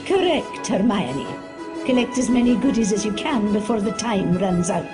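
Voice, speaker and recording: A woman's voice: mid-range voice, lively intonation, normal speed, close to an everyday voice. A woman playing a formal, precise teacher at a magic school, giving instructions in a video game. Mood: formal, approving. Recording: loud music under the voice.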